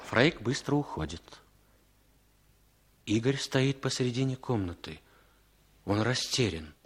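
A man narrating stage directions in a calm, matter-of-fact way for a 1960s radio drama. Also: medium pitch, a little slow, slight background noise.

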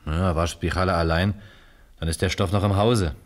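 An actor playing a calm, methodical police detective in a radio crime drama, speaking in a measured, logical way. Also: male voice, deep voice, close to an everyday voice. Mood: calm, reasoning. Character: calm, methodical male police detective reporting to his captain.

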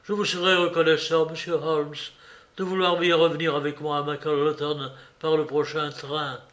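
A man voicing an exhausted, distraught gentleman visitor in an audiobook, pleading in a breathless, hurried way. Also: on the high side, flat delivery, close to an everyday voice.

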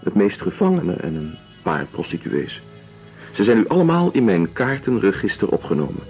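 Radio drama: a low-voiced, world-weary doctor, deliberate and detached, on a muffled lo-fi recording. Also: male voice, wildly swooping pitch, faint music behind. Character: weary, detached doctor-writer documenting a penal colony. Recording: dull lo-fi radio play recording.

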